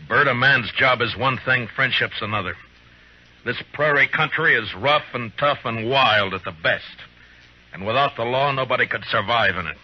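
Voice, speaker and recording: An actor playing a stern, dutiful frontier marshal in an old radio drama, terse and grave, on a muffled old recording. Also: male voice, medium pitch, normal speed, husky, natural voice. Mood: grave, loyal. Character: stern, dutiful frontier marshal, grown man, troubled but firm. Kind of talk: old-time radio acting.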